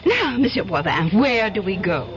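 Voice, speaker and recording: Woman playing a grand, animated wealthy aunt. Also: mid-range voice, moderate pace, natural voice. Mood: imperious, composed. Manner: effusive, imperious. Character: grand, gushing wealthy middle-aged aunt. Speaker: actor in a radio drama. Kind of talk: 1970s radio drama acting. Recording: dull, noisy old radio broadcast.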